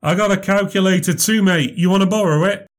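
A man's voice: high-pitched, fairly quick, pitched above the performer's own voice, natural voice. A man plays a cocky young rugby heckler in a spoken story, his voice pushed well above his own. He jeers dismissively, mocking whoever is on stage. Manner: jeering, dismissive, says "mate".

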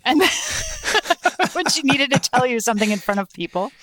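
A woman's voice: sits high, moderate pace. A woman guest author, raised speaking Lithuanian at home, telling stories in a casual interview, laughing often and acting out voices from her childhood. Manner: animated storyteller, laughs often, acts out quotes.

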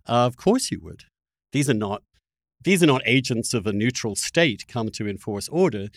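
A man's voice: mid-range voice, animated, moderate pace, no echo. Emphatic middle-aged man debating, dry sound. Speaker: podcast host. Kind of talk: conversational political debate.

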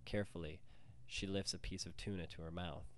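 A man's voice: sits low, fairly even intonation, brisk, slight background noise. A man reading his own first-person short story as scripted narration.

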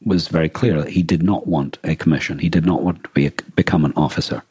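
A man co-hosting a podcast, explaining things in a casual, halting discussion as he thinks aloud. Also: extremely low pitch, steady tone, darkish tone, slightly rough, no echo. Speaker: podcast co-host. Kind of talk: casual discussion of a radio drama.

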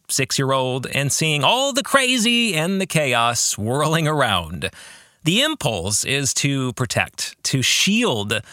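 A middle-aged man speaking as a podcast host in a reflective monologue, putting rhetorical questions to his listeners in measured, deliberate phrases with emphatic stresses. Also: medium pitch, pushed and bright, no room sound, clean studio sound. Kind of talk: reflective book-club podcast monologue on faith.

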